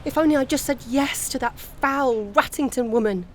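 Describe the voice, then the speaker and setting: A woman's voice: high-pitched, moderate pace, natural voice. A woman playing a guilt-ridden, determined heroine desperate to save her friend, speaking earnestly in an audio play. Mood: anguished. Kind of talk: amateur audio drama acting. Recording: noisy home-style recording.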